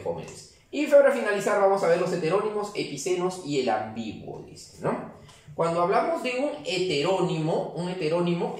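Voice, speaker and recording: A man teaching a grammar class, explaining step by step and checking in with the students. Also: a little high. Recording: very echoey room, mic far off.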